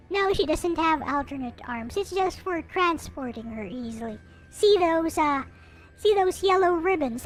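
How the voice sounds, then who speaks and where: A woman giving a casual, playful show-and-tell commentary as a streamer presenting collectibles. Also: sits high. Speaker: streamer showing collectible anime figures. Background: music.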